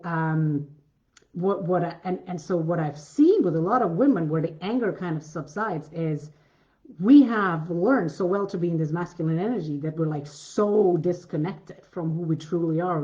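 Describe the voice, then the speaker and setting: A woman with a deep voice giving a coaching masterclass, earnest and repetitive, often restarting her sentences. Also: warm tone, dull audio. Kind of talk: masterclass talk.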